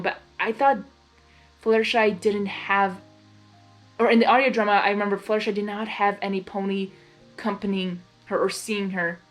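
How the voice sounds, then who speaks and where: A woman reads a story aloud in an expressive, informal way. Her voice sits at an average pitch and her manner is giggly and casual. Soft music plays behind her. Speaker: fan fiction reader.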